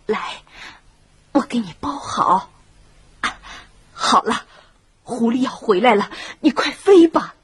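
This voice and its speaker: A woman voicing a kind, motherly bat auntie in a children's radio play, gentle and reassuring. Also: a little high, lively intonation, takes it slowly, pauses often, slightly rough, no echo, full character voice.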